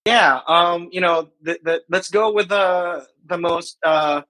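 Bright-voiced man from the Philippines. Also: high-pitched, fairly even intonation, slow. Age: 40s. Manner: reflective, with many fillers and restarts. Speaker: guest. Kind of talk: casual interview talk.

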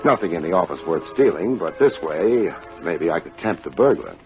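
An American actor playing a wry, unflappable private detective in a 1950s radio drama, deadpan and wisecracking. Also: male voice, low voice, normal speed. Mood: wry, brooding. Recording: dull old radio recording, music behind.

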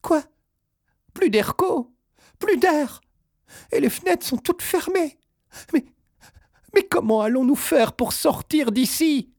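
A woman playing a playful, impatient gust of wind in an audio fiction, with an expressive, theatrical delivery. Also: sits high, slow pace, pauses often, no room sound, clean studio sound. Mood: alarmed. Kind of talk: French audio fiction acting.

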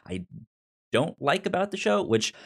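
Halting, stammering male podcast host, unhurried. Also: high-pitched, sing-song, vocal fry. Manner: halting, stammering, repeats words. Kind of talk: casual review discussion.

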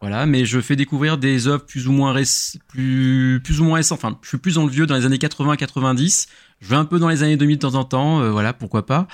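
A middle-aged man explaining his podcast casually, halting and often rephrasing as he goes. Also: medium pitch, no echo, studio quality. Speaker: podcast host appearing as a guest. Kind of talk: casual talk explaining own show.

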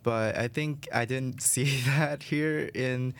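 A man's voice: mid-range voice, steady tone, gravelly. Young man, Vietnamese accent, resonant clean tone, hesitant interview answers. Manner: halting, searching for words. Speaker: international student guest.

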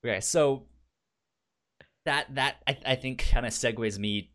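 A man's voice: medium pitch, unhurried, a bit bright. A man co-hosting a podcast in casual chat, repeating words as he forms thoughts and acting out quotes. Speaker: podcast co-host. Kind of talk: casual chat about a TV show.

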